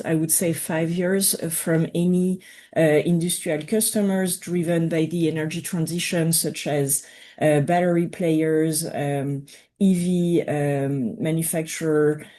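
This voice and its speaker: A woman with a Quebec French accent presents to a webinar panel over a video call. Her voice sits low and warm, mellow rather than bright. She talks haltingly, with frequent fillers and restarts. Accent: French Canadian. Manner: hesitant, many fillers and restarts. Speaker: utility panelist.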